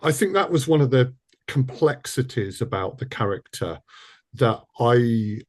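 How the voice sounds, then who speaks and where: A man interviewing as a producer, in a relaxed conversational style with hesitant, searching phrasing. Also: medium pitch, a little slow.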